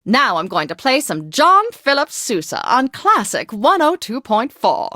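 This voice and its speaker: An actress playing a posh, flamboyant, tipsy classical radio DJ in a video game, with a gushing, affected, genteel manner. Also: female voice, fairly high pitch, sing-song, measured pace, bright, forceful tone, no room sound, studio quality, full character voice. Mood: delighted, refined. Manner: theatrical, affected, genteel and effusive.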